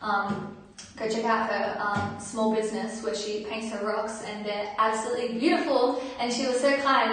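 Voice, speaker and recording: An Australian woman chatting casually and rambling informally to viewers as the host of a live stream. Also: fairly high pitch. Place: indoors at home. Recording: very echoey room, distant microphone.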